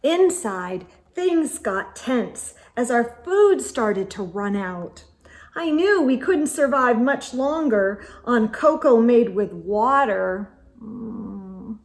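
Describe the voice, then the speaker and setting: Slow-paced, higher-voiced woman reading to children. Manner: expressive, gasps and whispered asides. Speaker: children's read-aloud storyteller. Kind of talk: animated picture-book read-aloud with asides to children.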